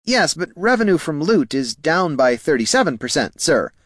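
A man plays a meek, anxious clerk from a fantasy storybook. The voice is pitched high and squeaky, with lively swings, and stays formal and eager to defer to superiors. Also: normal speed, no room sound, studio quality. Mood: anxious, dutiful. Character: nervous, deferential male Scribkin financial clerk.

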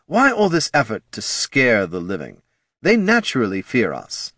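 A man voicing a weary, unimpressed undead lich wizard in a fantasy audiobook, hollow and measured. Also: mid-range voice, wildly swooping pitch, fairly bright tone, slightly rough, no room sound, studio quality, voice pushed up, exaggerated voice.

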